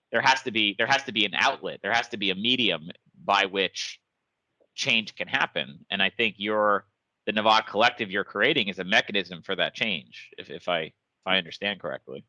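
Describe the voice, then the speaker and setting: A man with a fairly low, bright and forceful voice muses hesitantly as host, repeating words while he works through his thoughts. The recording is close and dry. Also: brisk, dry recording, poor audio. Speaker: podcast host. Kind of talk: casual interview conversation.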